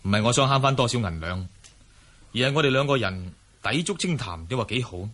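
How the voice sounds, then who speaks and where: A man's voice: mid-range voice, animated, a little fast, a bit bright. A man with a Hong Kong Cantonese accent playing a bold, friendly swordsman in a martial-arts radio drama. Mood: friendly, teasing. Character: bold, unrestrained young swordsman, a friendly elder brother.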